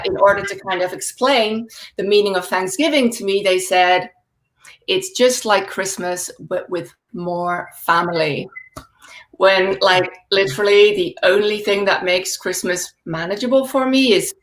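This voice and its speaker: Woman comedian, native Dutch speaker, deadpan, laughing asides, mid-pitched voice, home video call. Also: unhurried, poor audio. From Netherlands. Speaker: stand-up comedian. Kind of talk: stand-up comedy set.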